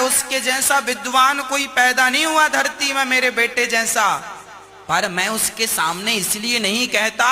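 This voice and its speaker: A man voicing a wise, loving but strict elderly father who explains himself in a measured way, in an echoing hall. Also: extremely high pitch, pushed and bright, full character voice. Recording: echoey hall, long reverb.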